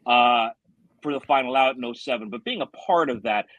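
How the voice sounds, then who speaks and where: A man's voice: medium pitch, a little fast, a bit bright, no room sound, muffled recording. American man telling stories, dry close sound. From South Florida, United States. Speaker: podcast guest, former baseball radio announcer. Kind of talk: conversational storytelling.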